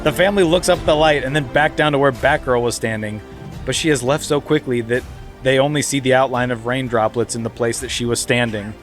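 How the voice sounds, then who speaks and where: A man's voice: medium pitch, fairly even intonation, fairly quick, soft background music. A man, a podcast co-host, narrating stage directions in a comedic script reading, now and then stifling laughter.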